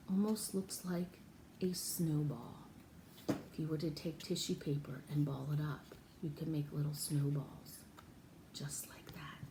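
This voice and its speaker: Highly animated woman leading children's story time. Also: slight background noise. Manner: animated, exaggerated, sing-song talk to small children; coaxing questions and repetition. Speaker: storyteller and children's art teacher.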